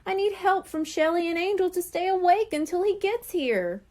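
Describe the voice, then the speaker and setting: A woman's voice: very high-pitched, expressive, moderate pace, darkish tone, pitched above the performer's own voice. A woman voicing an eager, impatient young girl kitten in a children's storybook. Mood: pleading. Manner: pleading, impatient.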